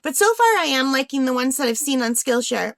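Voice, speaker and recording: A woman's voice: sits high, very animated, a little fast, dry recording. A woman hosting a craft unboxing vlog in a casual, chatty way, thinking aloud, with a slightly tired, under-the-weather voice. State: slightly unwell, voice tiring. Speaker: craft channel host.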